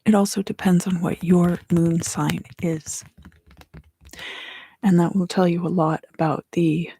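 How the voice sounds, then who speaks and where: A woman giving an ASMR tarot reading in a hushed, breathy whisper, gently and with pauses. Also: lowish voice, steady tone. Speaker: tarot reader and host.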